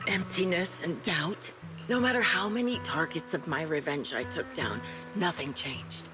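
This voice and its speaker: An actress playing a haunted, vengeful ex-soldier woman in a video game, measured and brooding, coldly resigned as she speaks. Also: sits low, over music. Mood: resigned, menacing. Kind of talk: scripted video game story acting.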